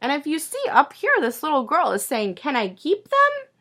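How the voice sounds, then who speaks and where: A woman teacher reading a picture book aloud to young children in an expressive, sing-song way, pausing to ask them questions. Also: sits high.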